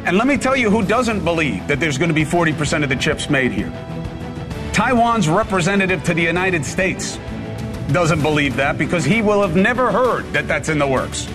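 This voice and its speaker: Combative male anchor teasing the next segment, a bit high, music bed. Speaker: news anchor. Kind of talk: show promo.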